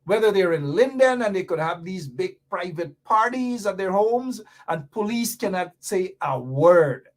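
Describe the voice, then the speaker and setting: A man's voice: high voice, lively intonation, a little slow, hardly any pauses. A Guyanese man hosting a radio talk show, delivering fiery, sarcastic political commentary with mocking repetition.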